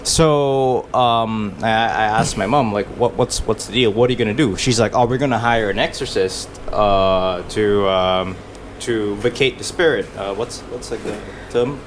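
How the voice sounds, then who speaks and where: A man's voice: on the low side, normal speed, a little hiss or hum. A man born in Malaysia, telling a story in casual chat, laid-back, with many fillers and restarts and a wry sense of humor. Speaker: musician guest.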